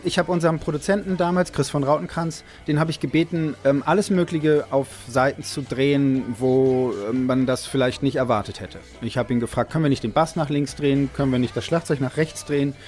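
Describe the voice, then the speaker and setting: A man talking reflectively as an interviewee, halting and correcting himself as he thinks. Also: medium pitch, fairly even intonation, darkish tone. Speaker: music producer and musician, interviewee.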